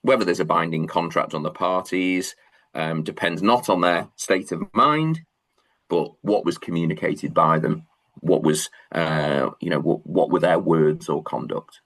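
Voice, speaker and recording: A man's voice: low-pitched, unhurried, fairly bright tone. A man with a Manchester accent giving an online law lecture, hesitant, with many fillers and restarts. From England.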